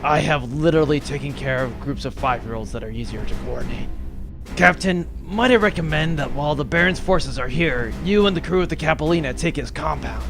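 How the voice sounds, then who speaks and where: A no-nonsense military commander, played by a man, with a gravelly, fairly high male voice. He briefs in clipped, serious terms in a sci-fi radio play, with music behind him. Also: brisk, close to an everyday voice. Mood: dry. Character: no-nonsense veteran military commander drilling rebel troops. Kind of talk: comedic sci-fi audio drama acting.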